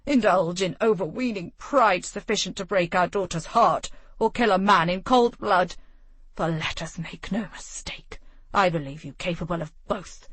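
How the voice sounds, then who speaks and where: A woman's voice: on the low side, moderate pace, fairly bright tone, husky, no room sound. Woman voicing a furious stern nobleman. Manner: hard, accusing. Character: stern furious middle-aged nobleman, father.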